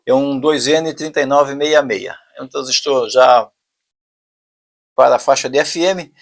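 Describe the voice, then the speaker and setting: A man with a Brazilian Portuguese accent giving an informal technical explanation as a tutorial presenter, haltingly, thinking aloud and repeating himself. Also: medium pitch, vocal fry.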